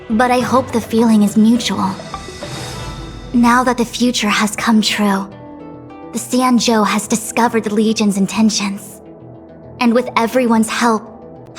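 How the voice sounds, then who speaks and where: An actress playing a composed, dutiful woman diviner in a video game, speaking formally and with measured articulation. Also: fairly high pitch, natural voice. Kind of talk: scripted video game dialogue.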